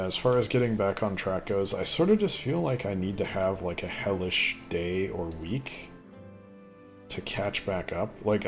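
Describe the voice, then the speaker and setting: A man rambling casually while commentating on a gameplay video, with a voice he says sounds deeper since an illness. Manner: rambling, chatty, thinks aloud. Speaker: gaming video host. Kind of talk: casual let's play commentary.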